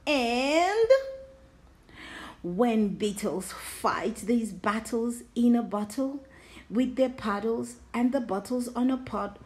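A woman reading rhyming children's verse aloud with deliberate, expressive articulation. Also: a little high, rough recording. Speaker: storybook reader.

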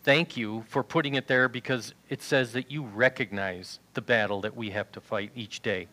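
A man's voice: medium pitch, moderate pace, pushed and bright. A man in his sixties preaching a sermon in a church sanctuary, reading scripture aloud through a headset mic. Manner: earnest preaching, reads scripture aloud from a tablet. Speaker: pastor. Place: large church sanctuary with choir risers.

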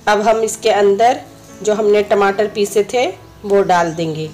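Slightly hoarse woman, mid-pitched, home cooking host, patient step-by-step delivery, faint music under. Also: unhurried, a little mellow. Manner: instructive, friendly, repeats steps. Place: home kitchen.

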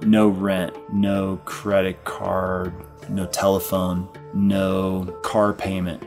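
A man's voice: sits low, monotone, takes it slowly, over music. A middle-aged man reflecting candidly in a plain-spoken way, as in a documentary interview. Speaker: ski guide.

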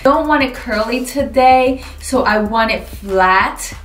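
A woman in her forties gives a chatty, animated beauty product review to the camera from an indoor studio. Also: fairly high pitch, slow. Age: 40s. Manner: chatty and animated, swears mildly, hands moving. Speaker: beauty vlogger. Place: indoor studio, dark backdrop. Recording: roomy, a bit far from mic.

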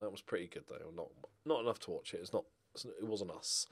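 A man's voice: sits low, a little fast, slightly rough. A middle-aged British man giving casual, rambling, jokey gameplay commentary, thinking aloud as he swings between muttering and exclaiming. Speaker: gaming streamer playing a football management game.

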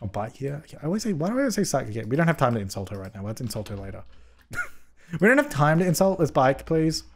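A young man with a slightly hoarse voice from a cold, giving jokey, chatty commentary while playing a life-simulation game and scolding his characters, recorded on a headset mic at home. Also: mid-range voice, sing-song, fast, a little hiss or hum. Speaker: gaming YouTuber. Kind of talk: casual let's-play commentary.

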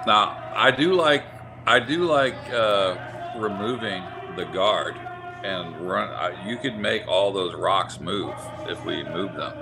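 Slow, low-voiced male curling analyst. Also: vocal fry, over music. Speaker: color commentator. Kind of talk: sports commentary.

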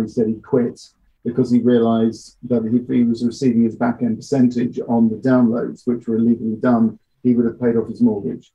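A middle-aged man giving measured, matter-of-fact interview answers with hesitations over a video call from a small office. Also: mid-range voice. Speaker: audio drama producer being interviewed. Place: small home office, indoors. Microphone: computer mic on video call. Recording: dull, lo-fi video-call audio.

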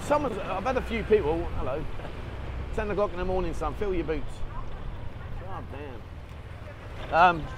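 A man chatting casually, rambling and swearing now and then as he rides a motorbike through town with a handheld camera. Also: fairly high pitch. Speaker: vlogger. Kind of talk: casual running commentary. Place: outdoors on a motorbike in town traffic, rain. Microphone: action camera held in hand. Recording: street and traffic noise behind.

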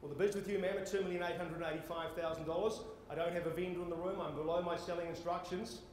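High-pitched Australian male auctioneer, very echoey. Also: steady tone, quick pace. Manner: theatrical, projecting to the room, formally courteous. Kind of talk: live property auction calling. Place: on stage in a large indoor conference hall. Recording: echoey conference hall with noise.

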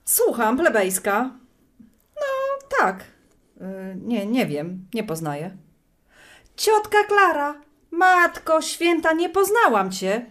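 A woman's voice: fairly high pitch, very animated, takes it slowly, long pauses, fairly bright tone, full character voice. A woman playing a chatty, wry middle-aged widow in an audio drama, talking in a colloquial way. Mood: excited, surprised. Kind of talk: audio drama monologue and phone acting.

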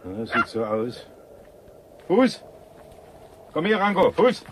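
An offhand rural woodsman in a radio play. His voice is high and colloquial, with faint outdoor ambience and dog sounds behind. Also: big pitch swings, slow pace, a little hiss or hum. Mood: commanding. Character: unbothered male rural forest worker with dog. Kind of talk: rural German radio drama acting.

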